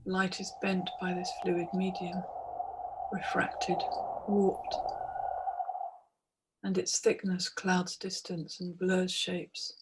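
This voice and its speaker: Woman guiding meditation in a flat monotone. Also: fairly low pitch, noisy background. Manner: measured, hypnotic, deliberate phrasing with pauses. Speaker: meditation guide. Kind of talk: guided meditation, scripted narration.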